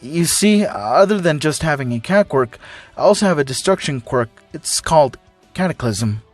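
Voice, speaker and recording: A timid teenage cat-boy from a story, acted by a man, talks in a fairly high, animated voice. He is playful and hesitant, sliding into pleading. The sound is dry. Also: normal speed, no echo, soft background music. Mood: nervous.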